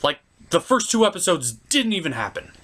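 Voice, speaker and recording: Male online reviewer, slightly high-pitched, highly animated delivery; exasperated, emphatic, sweary rant style. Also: fairly quick. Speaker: online cartoon reviewer and host. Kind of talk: ranting review commentary with profanity.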